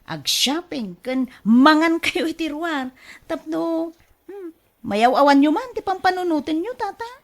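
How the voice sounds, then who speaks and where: A woman with an Ilocano accent playing an excitable, fretful middle-aged mother in a radio drama, expressive and exclamatory. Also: sits high, unhurried. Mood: bossy, teasing. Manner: expressive, exclamatory, laughs easily.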